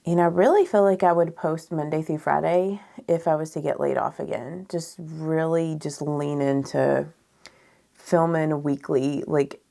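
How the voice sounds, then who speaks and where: A woman in her thirties with a froggy, raspy voice, chatting candidly and ramblingly as a beauty vlogger doing a makeup tutorial indoors. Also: low voice, fairly even intonation, measured pace, mellow. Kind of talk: casual chat during makeup tutorial. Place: small furnished room indoors.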